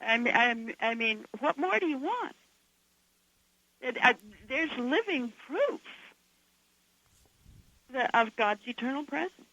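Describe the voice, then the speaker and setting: A hoarse woman from southern Idaho speaks with fervent, emphatic conviction as an interview guest. Her voice sits a little high and sounds bright and forceful. The audio is dull and lo-fi, with a dry sound. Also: big pitch swings, slow, long pauses, dry recording. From southern Idaho, USA. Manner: fervent, emphatic, laughs often. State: hoarse from coughing. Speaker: author guest. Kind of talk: radio interview.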